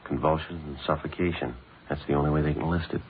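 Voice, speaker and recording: Terse police detective: very low American voice, rapid clipped delivery, businesslike and polite, vintage radio crime drama. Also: male voice, phone-quality sound. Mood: gently matter-of-fact. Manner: clipped, matter-of-fact, deadpan. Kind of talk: 1950s radio drama acting, understated. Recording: old band-limited radio broadcast, hissy.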